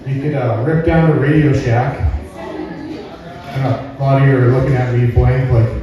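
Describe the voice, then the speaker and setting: A man's voice: mid-range voice, fairly even intonation, moderate pace, lo-fi sound. A middle-aged Canadian man doing a folksy, rambling stand-up comedy routine, often checking in with the crowd. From Alberta, Canada. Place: live comedy venue indoors. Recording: very echoey room, far from mic.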